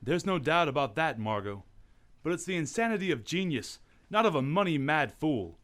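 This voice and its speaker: An actor playing a calm, thoughtful gentleman detective in a radio drama. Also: on the high side. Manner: measured and thoughtful.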